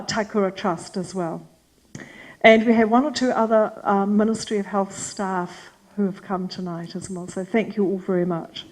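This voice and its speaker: Loud teacherly New Zealand woman. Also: medium pitch, low-quality recording. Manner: loud teacherly voice, bossy, chatty with fillers. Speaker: forum chair and facilitator. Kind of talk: welcoming remarks at a community forum. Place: meeting hall with a large audience.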